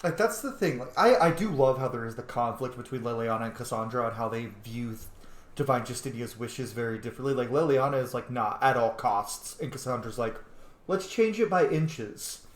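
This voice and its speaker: A man's voice: medium pitch, fast talker. A man giving casual, reflective let's play commentary, thinking aloud as he goes. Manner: reflective, thinking aloud, mimics opinions in quotes. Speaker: gamer, roleplay host. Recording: roomy, echoing room sound.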